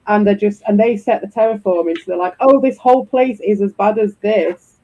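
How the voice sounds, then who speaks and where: A man with a croaky, husky voice, hosting a stream in loose, rambling chat and swearing freely. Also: high-pitched, fairly quick, mellow, lo-fi sound. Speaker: streamer host. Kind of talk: casual chat.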